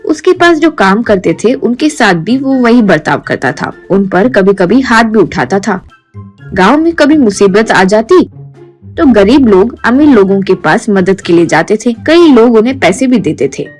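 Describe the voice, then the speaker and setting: Lady storyteller, medium pitch, scripted kids story narration, music in the back. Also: expressive, moderate pace, a little mellow.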